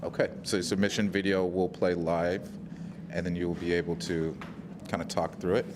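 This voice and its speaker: A man hosting a live award ceremony on a conference stage, chatty and encouraging, filling pauses while the tech gets set up. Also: low-pitched, level tone. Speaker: host of hackathon award ceremony. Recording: noisy live event audio.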